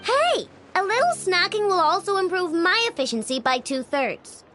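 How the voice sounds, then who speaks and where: A cheeky little girl inventor in a video game. The voice is extremely high and lively, childlike, breezy and full of boastful self-assurance. Music plays clearly behind it. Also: normal speed, heavily acted. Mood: indignant.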